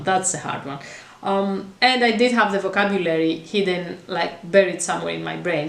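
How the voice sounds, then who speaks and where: Woman chatting in a roomy space. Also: mid-range voice. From Greece. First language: Greek. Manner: chatty and meandering, with frequent fillers and restarts. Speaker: vlogger. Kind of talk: casual talk to camera. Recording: roomy echo.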